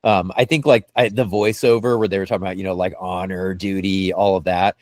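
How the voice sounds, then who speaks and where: A man's voice: sits low, flat delivery, fairly quick, a little mellow, dry recording, clean studio sound. A man co-hosting a podcast in casual fan discussion, enthusiastic and rambling, repeating words as he goes. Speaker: podcast co-host.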